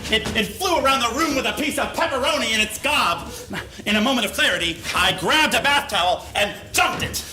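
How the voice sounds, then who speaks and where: A man giving a mock-serious comedic reading of a story live on stage, with deliberate pauses for effect. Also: high-pitched, sharp tone. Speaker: live reader of a humorous letter. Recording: live stage recording with faint noise.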